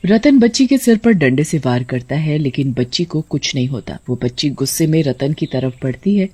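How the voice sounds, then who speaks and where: A woman's voice: deep voice, animated, moderate pace, dark tone, husky. A woman storyteller reading scripted narration for a horror tale.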